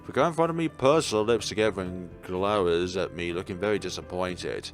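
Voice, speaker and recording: A man doing an expressive read-aloud of a visual novel, sometimes stumbling over words and rereading them. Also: deep voice, fairly quick. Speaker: video game player reading a visual novel aloud. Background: background game music.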